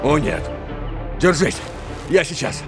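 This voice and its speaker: Excitable, swooping cartoon bear. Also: male voice, on the high side, slow pace, over music. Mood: panicked. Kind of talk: animated children's cartoon dub.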